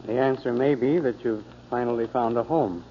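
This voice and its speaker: An actor playing a gentle, perceptive, philosophical friend in a measured, literate 1940s radio drama style. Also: male voice, medium pitch, natural voice. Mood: reflective. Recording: muffled, faintly noisy 1940s radio broadcast.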